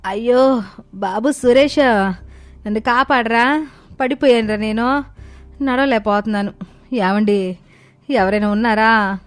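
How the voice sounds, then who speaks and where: A woman playing a scolding, scheming older mother-in-law in a dramatised family story, with a sharp, accusing manner. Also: fairly high pitch, unhurried, long pauses, soft background music, lightly acted. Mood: wailing, feigning pain. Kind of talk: dramatised moral story acting.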